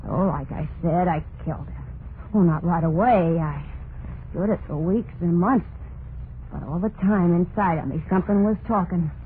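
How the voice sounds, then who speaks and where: An actress playing a tormented, murderous young wife in a vintage radio horror play, confessing in a brooding, repetitive monologue with plain folksy speech. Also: female voice, fairly low pitch, moderate pace, narrow, tinny audio, close to an everyday voice. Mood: obsessive, confessing. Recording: noisy, band-limited vintage radio recording.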